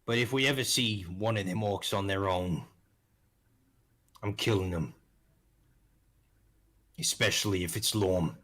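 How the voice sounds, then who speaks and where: A man's voice: low-pitched, unhurried, pauses often, a bit bright. A man playing a blunt, sweary, world-weary ex-slave adventurer in a tabletop game. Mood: bitter. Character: bitter male ex-slave adventurer, afraid of orcs.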